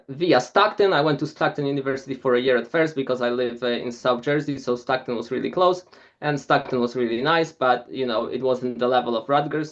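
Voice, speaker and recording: A man's voice: mid-range voice, highs cut off. A man, giving an informal club presentation in a hesitant way with many filler sounds. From Poland. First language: Polish.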